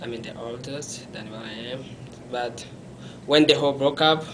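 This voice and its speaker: A young man, telling his life story in an interview in a small room, halting and often correcting himself. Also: mid-range voice, very noisy. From Congo. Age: young adult. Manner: halting, self-correcting, non-native phrasing; gestures with his hands while talking.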